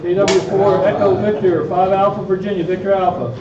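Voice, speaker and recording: A man's voice: high voice, flat delivery, fairly quick, a loud crowd. A man leading a practice exercise as a radio operator, speaking in live amateur radio call procedure. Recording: echoey room, distant mic, dull, audience noise.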